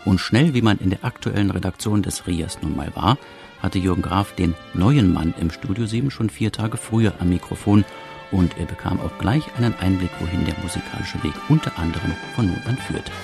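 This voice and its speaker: A man with a very low, gravelly voice tells a radio history in a measured, matter-of-fact way. Music plays clearly beneath him. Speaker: radio documentary narrator. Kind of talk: scripted narration. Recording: music playing behind.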